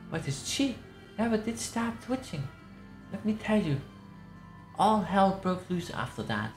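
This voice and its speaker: A woman's voice: mid-range voice, very animated, unhurried, soft background music. A woman voicing an elderly matriarch who recounts a tragic night as a folksy, dramatic storyteller. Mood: grave, dramatic. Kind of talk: dramatic reading of a game newspaper. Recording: roomy echo.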